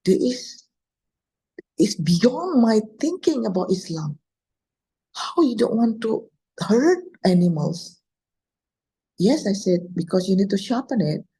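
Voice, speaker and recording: A woman's voice: mid-range voice, big pitch swings, slow, pauses often, warm tone, slightly rough, rough recording. A middle-aged woman with an Indonesian accent telling personal stories as a guest speaker, hesitating and rephrasing as she goes. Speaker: guest speaker, Islamic scholar. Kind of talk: personal storytelling in an online talk.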